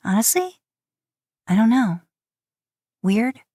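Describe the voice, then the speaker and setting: A woman's voice: a little high, very animated, slow pace, dark tone, dry recording, clean studio sound, voice pushed up, exaggerated voice. A woman voicing a guarded, uncertain young woman, hesitant and defensive, in an audio story. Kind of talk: fan fiction audio story reading.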